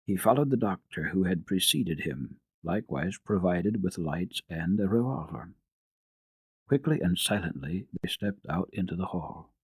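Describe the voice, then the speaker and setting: Male radio storyteller for children's classics: husky, slightly low, deliberate, expressive, dry sound. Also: dry recording, poor audio. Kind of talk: dramatic reading of children's classic.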